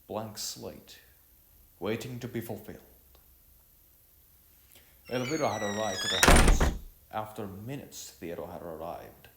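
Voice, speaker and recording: Echoey, halting male story narrator, expressive pitch. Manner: halting delivery, often repeating and correcting words. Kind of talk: amateur story narration, read aloud.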